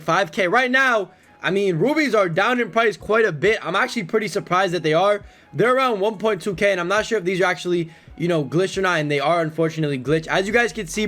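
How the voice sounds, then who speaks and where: Young male gaming YouTuber, hoarse, high-voiced, slangy and hyped. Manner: energetic, slangy, keeps calling viewers bro and man. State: hoarse, lost voice. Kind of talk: casual gameplay commentary.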